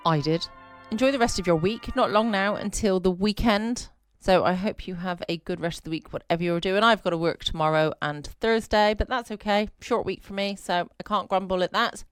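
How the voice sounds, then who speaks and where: A woman chatting casually as host of a relaxed bedtime podcast. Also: medium pitch, brisk, smooth, no echo, clean studio sound. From United Kingdom. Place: at home, dry close sound. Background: faint music.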